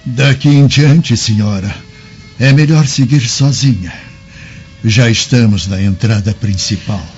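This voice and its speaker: An actor playing a humble, devout and loyal Galilean companion in a radio drama. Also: male voice, medium pitch, a little slow, a little mellow, natural voice. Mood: solemn. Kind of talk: Brazilian radio drama acting. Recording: dull lo-fi radio drama with music.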